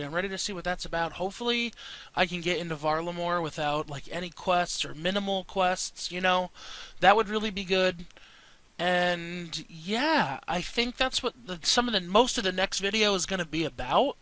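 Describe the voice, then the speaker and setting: A man's voice: high-pitched, normal speed, bright, forceful tone. A man giving casual gameplay commentary in a rambling, informal way, as a video creator talking to viewers.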